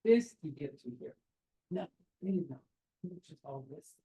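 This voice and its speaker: Guy lecturing over a webcam call, bit husky, fairly high voice, earnest and direct. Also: lively intonation, normal speed, muffled recording. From Iran. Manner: earnest, direct, rhetorical questions, non-native phrasing. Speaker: professor giving a seminar. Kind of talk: career advice talk over slides. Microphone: webcam on a video call.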